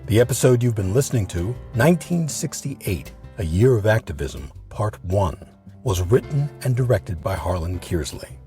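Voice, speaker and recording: A man narrates a scripted documentary with deliberate emphasis. His voice is low and mellow, with lively, dramatic swings in pitch, over background music.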